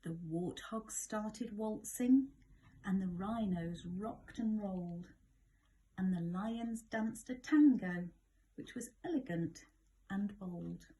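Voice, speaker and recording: A woman reading a children's bedtime story in a gentle, measured, expressive way. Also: medium pitch, low-quality recording.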